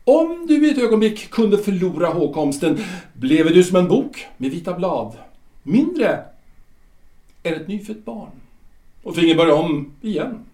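An actor playing a solemn otherworldly guide of the dead, speaking slowly and questioning like a patient teacher. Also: male voice, sits high, sing-song, dark tone. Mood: probing, didactic. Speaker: guide of the afterlife. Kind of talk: audio drama acting. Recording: roomy reverberant room.

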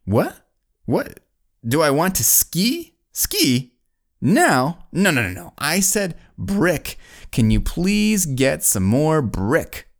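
Storyteller putting on a high voiced builder guy, hollering and repeating himself, exasperated, cartoony kids story style. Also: wildly swooping pitch, slow pace, full character voice. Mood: confused, frustrated. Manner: shouting, repeats himself insistently. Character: exasperated male tower builder yelling down for materials.

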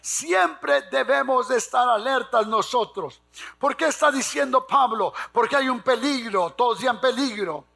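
A male preacher delivers a fervent sermon in a very high, forceful voice with a heavy rasp. He exhorts and repeats his phrases for emphasis, prompting the congregation to answer him. His delivery is slow and weighty. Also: soft background music.

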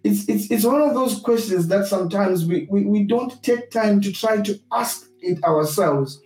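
A man from Zimbabwe with a Zimbabwean accent gives a reflective, sermon-like talk, deliberately restating his points for emphasis. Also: high-pitched, mellow. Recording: roomy echo, distant mic, music behind.

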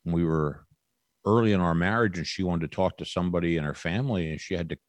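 A man's voice: sits low, fast, dry recording. A man in his sixties, a podcast guest chatting casually, with a rambling, folksy, upbeat manner. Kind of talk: casual chat.

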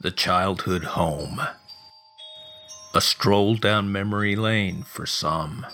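A man's voice: low-pitched, slow, sharp tone. A man narrating a scripted horror promo in a dramatic trailer style over a music bed.

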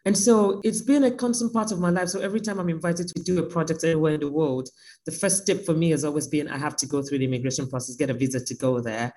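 A woman artist speaking by phone in an interview, reflective and sometimes joking, with lively emphasis. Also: deep voice, quick pace, slight background noise, rough recording. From Nigeria.

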